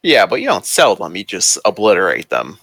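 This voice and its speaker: A man's voice: low-pitched, wildly swooping pitch, fairly quick, vocal fry, a bit bright, slightly rough, dry recording, studio quality. A man running a tabletop game as game master, explaining the world in a loose, filler-heavy way with casual swearing.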